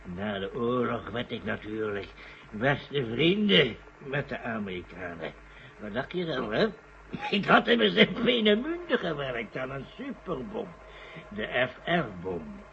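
An actor playing a tipsy, gloating old scientist, lecherous and rambling, in a vintage radio drama. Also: male voice, mid-range voice, very animated, unhurried, creaky. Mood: boozy, bitter. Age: older. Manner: lecherous, gleeful, rambling, chuckling. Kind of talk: 1970s Dutch radio drama acting. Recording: dull, noisy old radio recording.

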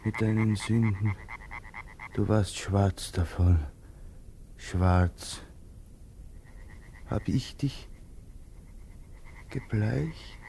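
An actor, playing a haunted, agitated young murderer in a 1950s radio drama, muttering in repeated fragments. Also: male voice, fairly low pitch, very slow, creaky, long pauses, dark tone, slight background noise, heavily acted. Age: 20s. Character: haunted, feverish young soldier turned murderer.

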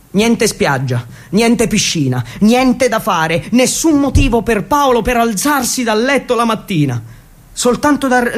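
Male stage storyteller, high-pitched voice, dramatic and wry delivery with weighty pauses. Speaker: actor-narrator. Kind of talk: theatrical solo storytelling.

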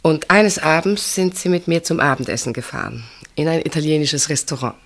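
An actress playing a reserved, tender woman in an intimate radio play, speaking in a gentle, measured, earnest way. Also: sits low, expressive. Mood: tender, nostalgic. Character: reserved, tender woman named Marianne, quietly devoted.

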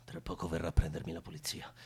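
An actor playing a timid, nervous escaped convict chained to a bed, stammering through every sentence in a radio play. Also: male voice, rumbling low, monotone, quick pace, a little mellow, husky, exaggerated voice. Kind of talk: radio theatre acting.

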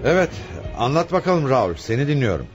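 An actor playing a commanding police commissioner in a radio play, authoritative, deliberate and probing. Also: male voice, medium pitch, sing-song, faint music behind, close to an everyday voice. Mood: probing, intrigued. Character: commanding male police commissioner leading a murder investigation. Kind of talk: radio theatre acting.

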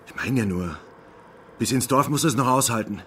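A man playing a gruff, stoic mountain man in a radio drama, speaking in terse, blunt phrases. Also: mid-range voice, animated, brisk, a bit bright, slight background noise, lightly acted. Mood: gruff, urging.

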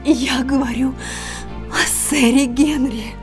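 Battered bitter wife, high-pitched, breathy, halting anguish, audio drama, faint music behind. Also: female voice, fairly even intonation. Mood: anxious, weak. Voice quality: breathy, husky. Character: battered, betrayed wife, weak, wounded and bitter. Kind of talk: emotional audio-drama acting.

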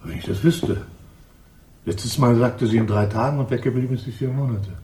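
An actor playing a theatrical, pompous and evasive lodging-house caretaker in a 1960s German radio crime drama. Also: male voice, mid-range voice, normal speed, dark tone, slightly rough. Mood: suspicious, officious. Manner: florid, grandiose, evasive. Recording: 1960s studio radio play.

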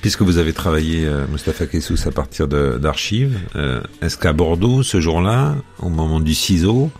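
A man hosting a radio history interview, putting measured questions in literary phrasing. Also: a bass voice, expressive, a little mellow.

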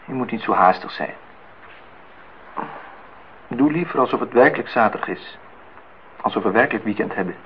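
A man's voice: fairly low pitch, animated, moderate pace, long pauses, natural voice. An actor in a radio play as a wry man who asks probing questions in a dry, teasing way. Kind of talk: Dutch radio play acting. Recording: dull, noisy old radio recording.

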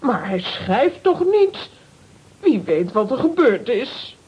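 An excitable, determined aunt for a children's radio play. Her voice is high and very raspy, swinging widely with worry. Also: takes it slowly, muffled recording, heavily acted. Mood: worried. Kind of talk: children's audio drama acting.

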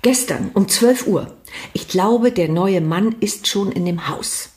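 An actress playing a deferential, discreetly gossipy household servant in a radio drama, speaking in a measured way. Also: female voice, medium pitch, a little mellow, close to an everyday voice. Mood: discreetly informative.

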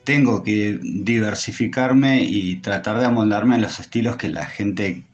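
A man with an Argentine Spanish accent answering interview questions in a thoughtful, hesitant way with many pauses. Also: fairly low pitch, muffled recording. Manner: hesitant, thoughtful, pausing often. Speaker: interview guest, tattoo artist.

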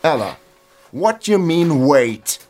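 An actor playing a quick-witted male private investigator who talks his way in, in a radio crime drama. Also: on the high side, sing-song, a little mellow, slight background noise. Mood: pushy bluffing. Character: scruffy private investigator bluffing as an American executive, quick-witted.

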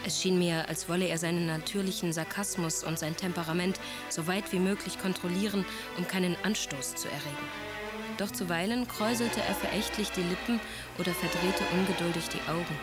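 A prim young society lady of a past century, acted by a woman in a radio play. Her voice sits fairly low, measured and reserved, with clearly audible music behind it. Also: steady tone, natural voice. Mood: observant, cool. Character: prim, observant, high-minded young English society lady. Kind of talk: radio drama acting, reading diary and letters.

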